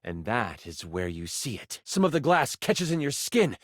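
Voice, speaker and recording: A man playing a dramatic game master, narrating a fantasy adventure in a video game with suspenseful pauses. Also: mid-range voice, big pitch swings, no room sound, clean studio sound.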